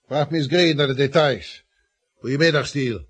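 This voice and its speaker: Husky, pompous male boss, played by an actor. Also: mid-range voice, moderate pace, lightly acted. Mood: brisk, stern. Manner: pompous, lecturing. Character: stern, self-satisfied male boss of an estate agency. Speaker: actor in a radio play. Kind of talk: Dutch radio drama acting.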